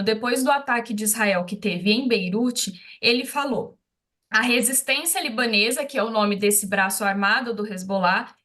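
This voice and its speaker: Higher-pitched Brazilian woman, news commentator. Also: bright, forceful tone. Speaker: expert commentator interviewed on a news show. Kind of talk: spontaneous analytical news commentary.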